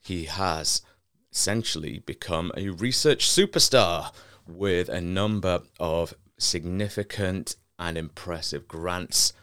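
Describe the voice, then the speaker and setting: A man hosting an academic podcast speaks with a very deep voice. He introduces his guest with generous, effusive praise. The sound is dry and close, with no room echo. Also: slow, a bit bright, clear, resonant tone. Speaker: podcast host. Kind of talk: interview host introduction.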